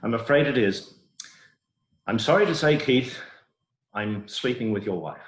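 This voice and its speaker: A man's voice: mid-range voice, lively intonation, a little slow, reverberant, close to an everyday voice, rough recording. A man playing a smug, shameless doctor with a grave, hesitant clinical manner in a comic play reading over a video call. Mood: grave, apologetic. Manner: hesitant, mock-solemn clinical delivery.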